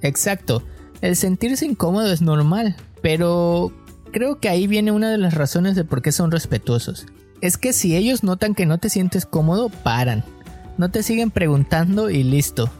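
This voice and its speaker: A man with a Mexican coastal accent co-hosts a casual podcast. He tells stories in a relaxed, slangy way. Faint music plays behind him. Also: sits high, normal speed. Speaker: podcast co-host.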